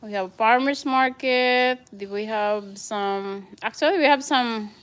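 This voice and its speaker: A woman's voice: a little high, slow, clear, resonant tone. A woman from the Philippines with a Filipino accent, chatting casually as she gives viewers a tour of her house and greets them by name. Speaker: vlogger, mother.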